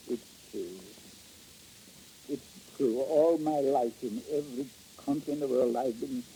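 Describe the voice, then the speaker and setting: An actor playing a greedy, melodramatic foreign male rogue in old-time radio style, pleading and ingratiating in a halting, husky voice. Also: medium pitch, warm tone, a little hiss or hum, close to an everyday voice, rough recording. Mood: confessing, pleading. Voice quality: husky, breathy. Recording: old radio recording with faint music.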